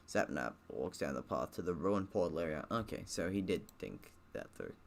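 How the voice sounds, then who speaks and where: Low, creaky-voiced man reading a game aloud. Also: very animated, normal speed, a little hiss or hum. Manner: breaks off reading for sarcastic, grumbling asides. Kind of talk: let's play commentary, reading visual novel text aloud.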